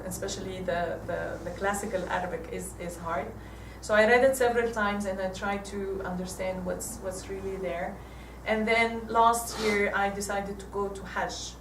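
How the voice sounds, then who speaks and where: An Egyptian woman in her forties gives a church talk with slides, halting and restarting often. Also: medium pitch, a bit bright, slight background noise. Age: 40s. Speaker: guest speaker. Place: church hall. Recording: echoey hall from far off.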